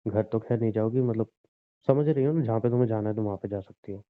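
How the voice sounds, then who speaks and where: A man's voice: fairly low pitch, fast, natural voice. Man acting as resigned, pleading husband, tinny phone sound. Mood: calm, persuading. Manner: pleading, insistent, reasoning. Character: injured, resigned husband pressing his estranged wife to divorce. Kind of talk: naturalistic Hindi relationship audio drama.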